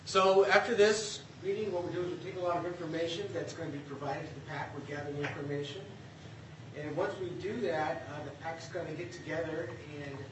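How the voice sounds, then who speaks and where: A man's voice: a little high, fairly even intonation, brisk. Man presenting at a public meeting, echoey and distant. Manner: hesitant, many fillers. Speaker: city staff presenter. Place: meeting room. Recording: echoey room, distant mic, background noise.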